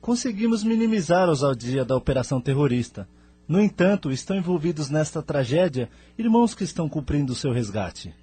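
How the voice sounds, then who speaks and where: A man with a Brazilian accent playing a calm, authoritative leader of a spirit colony in an audio drama. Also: on the high side, brisk, breathy edge, faint music behind. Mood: grave, composed. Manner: formal, composed.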